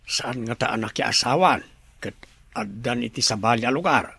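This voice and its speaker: An actor playing a dutiful male subordinate with a gravelly voice in a Filipino radio drama. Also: mid-range voice, moderate pace, bright, forceful tone, dry recording, heavily acted. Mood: deferential. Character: dutiful male subordinate, deferential to his lady boss.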